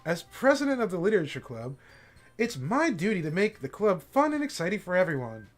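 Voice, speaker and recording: A man voicing a cheerful, composed teenage girl who leads a school club, sounding bright and encouraging. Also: high voice, very animated, fairly quick, soft background music. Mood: upbeat. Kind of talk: voicing game characters on a live stream.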